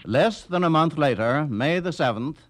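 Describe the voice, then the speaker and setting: Slightly high-voiced male documentary narrator. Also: lively intonation, normal speed, slight background noise. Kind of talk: scripted radio history narration.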